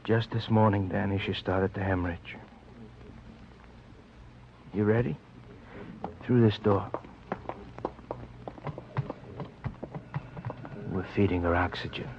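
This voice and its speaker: An actor playing a grave, gentle, sorrowful male surgeon in a radio drama. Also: sits low, steady tone, unhurried, pauses often. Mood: sad, solemn. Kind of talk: 1950s radio drama acting. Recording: muffled, noisy old radio recording.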